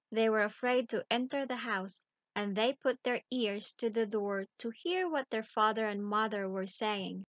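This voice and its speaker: A woman reads a children's tale aloud at a slow, deliberate pace. Her voice sits on the high side. The recording is very dry and a little dull. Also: a bit bright, no echo. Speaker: storyteller. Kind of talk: children's bedtime story read aloud.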